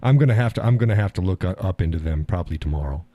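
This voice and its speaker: A man hosting a live call-in stream, talking in a calm, easygoing way and chuckling often. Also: deep voice, racing, dry recording, phone-quality sound. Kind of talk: casual chat.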